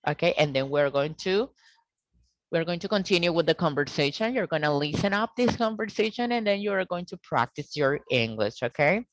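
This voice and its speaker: A woman teaching an English grammar lesson over a video call, explaining slowly and checking in with "okay?" often. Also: on the low side, lively intonation, no echo, low-quality recording. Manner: slow, deliberate explaining with frequent "okay?" checks.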